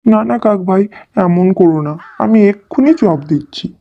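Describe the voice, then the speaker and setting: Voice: mid-range voice, a little slow, vocal fry. A performer voicing a humble, anxious village fritter seller in a cartoon, polite and pleading. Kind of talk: cartoon dialogue. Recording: dull audio with music underneath.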